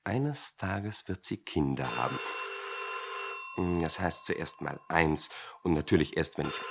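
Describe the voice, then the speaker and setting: An actor playing a flustered, indecisive company director in a radio play, husky, hesitant and easily exasperated. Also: male voice, fairly low pitch, noisy background. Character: flustered, indecisive male company director, pondering his engagement.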